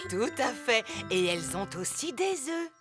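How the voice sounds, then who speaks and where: Lilting cartoon granny pig. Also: female voice, fairly high pitch, big pitch swings, a little slow. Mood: kindly. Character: gentle, cheerful grandmother pig. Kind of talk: French children's cartoon dub. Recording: music behind the voice.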